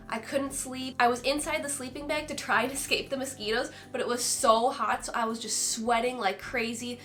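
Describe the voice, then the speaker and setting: A woman's voice: a little high, fairly bright tone. A woman in her twenties from Minnesota, chatting enthusiastically through a casual product review vlog, her voice stuffed up and nasal from a recent illness. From Minnesota, USA. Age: 20s. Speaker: vlogger.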